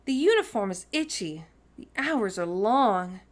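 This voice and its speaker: A woman's voice: medium pitch, sing-song, measured pace. A woman voicing a stubborn, whiny young girl in a children's storybook, dramatic in manner. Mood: grumbling. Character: stubborn, whiny young girl Sophie, bratty but soft-hearted.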